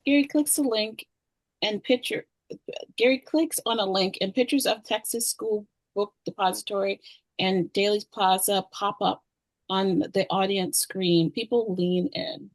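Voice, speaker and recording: A woman reads stage directions at a table read. Her voice sits at a middle pitch with a gravelly edge, and the sound is dry and close. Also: unhurried, no room sound, rough recording. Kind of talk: scripted narration at a screenplay table read.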